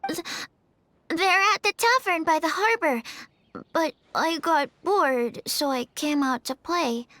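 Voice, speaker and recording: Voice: very high voice, slow, pushed and bright, no room sound, pitched above the performer's own voice, full character voice. A performer voicing a small, timid, innocent child from a game in a hesitant manner.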